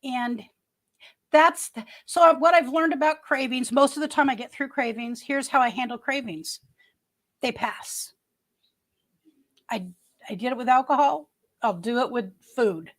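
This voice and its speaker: A woman's voice: high voice, measured pace, long pauses, fairly bright tone. A woman in her early sixties hosting a casual livestream from home, chatting and reading viewer comments in a rambling way with many restarts. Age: 60s. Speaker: livestream host.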